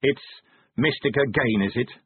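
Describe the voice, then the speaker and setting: A man voicing a gruff, sceptical, weary police commander in a storybook, blunt and impatient. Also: medium pitch, very animated, fairly quick, dry recording. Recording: narrow-band, phone-like audio.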